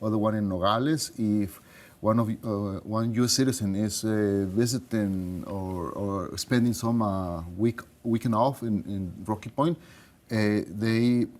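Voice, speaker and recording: Halting low-voiced man from Mexico. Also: darkish tone. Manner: halting, with many fillers and restarts. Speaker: studio guest, consular official. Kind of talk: interview answers.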